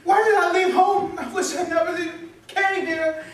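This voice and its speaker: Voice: very high voice, unhurried, a bit bright, pitched above the performer's own voice. A performer playing a panicked, insecure young male college student who stammers and whines frantically in a theatrical monologue. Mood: despairing, whiny. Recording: distant, echoey room, faint noise.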